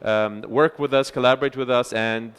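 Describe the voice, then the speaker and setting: A man giving a conference talk as chair of a research center, speaking loudly into a microphone with frequent filler sounds and restarts. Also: mid-range voice, fairly even intonation, normal speed, clean tone. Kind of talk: conference presentation. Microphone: handheld or stage microphone.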